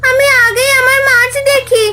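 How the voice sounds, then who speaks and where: A woman's voice: extremely high pitch, little pitch movement, normal speed, bright, forceful tone. A woman voicing a sweet, wistful little village girl in a cartoon, childlike and plaintive. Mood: worried. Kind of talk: Bengali cartoon folk-tale acting.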